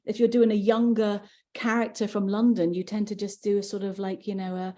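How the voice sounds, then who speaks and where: Mellow-voiced woman narrator chatting. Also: mid-range voice, little pitch movement, fairly quick. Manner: chatty, many restarts and fillers. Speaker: audiobook narrator. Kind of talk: casual podcast interview.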